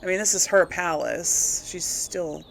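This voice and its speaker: A woman chats her way through a video game in a voice full of fry. She thinks out loud and keeps asking herself questions in a casual way. A faint layer of noise and game ambience sits behind her. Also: fairly low pitch, lively intonation, unhurried. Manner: thinking aloud, self-questioning. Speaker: gamer hosting a role-play playthrough.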